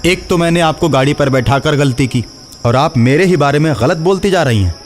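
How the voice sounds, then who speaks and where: A man's voice: fairly high pitch, lively intonation, a little fast, voice pushed up, lightly acted. Man doing an irritated truck driver over music. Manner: exasperated, complaining. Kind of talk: cartoon horror story dialogue.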